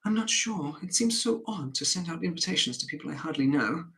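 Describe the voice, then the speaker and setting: A man rehearsing the part of a hesitant, wistful wife in stage dialogue, tentative and gently protesting. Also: fairly high pitch, animated, a little fast, pitched above the performer's own voice.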